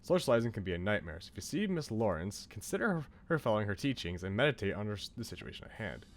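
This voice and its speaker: A man's voice: on the low side, very animated, fast talker. A man streaming a game casually, chatting and reading on-screen text aloud. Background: faint game music.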